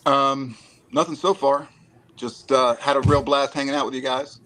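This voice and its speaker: A man appearing as a guest on a casual talk show, relaxed and brief. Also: mid-range voice, normal speed, low-quality recording. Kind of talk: casual chat.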